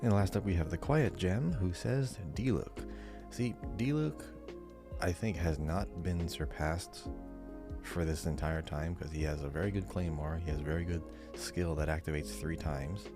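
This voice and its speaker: A man with a deep voice and plenty of vocal fry co-hosts a casual podcast. He talks in an informal, chatty way, dropping in short asides as he goes. Music plays clearly underneath his voice. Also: moderate pace. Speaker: podcast co-host. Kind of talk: casual podcast chat, reading listener answers and commenting.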